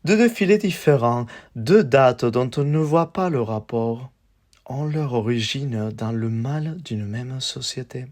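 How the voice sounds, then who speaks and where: A man reciting spoken-word poetry in a measured, declamatory way, in short phrases set apart by pauses. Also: mid-range voice, lively intonation, darkish tone.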